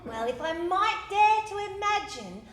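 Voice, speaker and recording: An actress playing a shy, dreamy young sister in a stage radio play, speaking wistfully. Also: female voice, very high voice, sing-song, a little slow, a bit bright, exaggerated voice. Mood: wistful, hopeful. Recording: very echoey hall, faint music.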